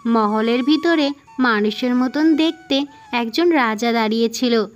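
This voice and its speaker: High-pitched woman narrating fairy tales. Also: unhurried. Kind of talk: scripted fairy-tale narration. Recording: music behind the voice.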